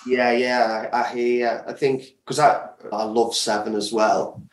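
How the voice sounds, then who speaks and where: A man's voice comes through with a roomy echo, set back from the mic, at an average pitch. He talks casually as an interview guest, with enthusiasm, leaning on words and repeating them for emphasis. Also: little pitch movement, a little slow, distant mic. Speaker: guest, audio drama creator.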